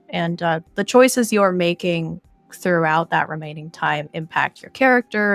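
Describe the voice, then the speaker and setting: A woman's voice: fairly low pitch, gravelly, no echo. A woman giving explanatory answers as an interview guest. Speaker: interview guest, game editor.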